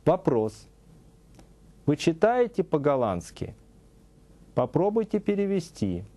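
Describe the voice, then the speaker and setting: Slow, lively-voiced man teaching English. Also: on the high side. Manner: slow, deliberate, exaggerated English examples. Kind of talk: scripted TV language lesson. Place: TV studio with a news-desk set.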